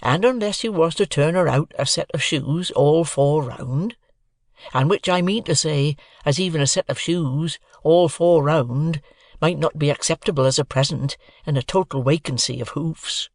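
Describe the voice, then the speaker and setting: A man voicing a slow, kindly rustic blacksmith who speaks deliberately in country dialect. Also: on the high side, no room sound, clean studio sound, lightly acted.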